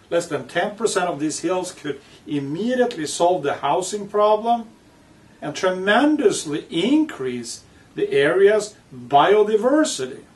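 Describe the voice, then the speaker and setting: A man reading his own book aloud with commentary, speaking in deliberate, emphatic phrases broken by pauses. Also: high voice. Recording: distant microphone.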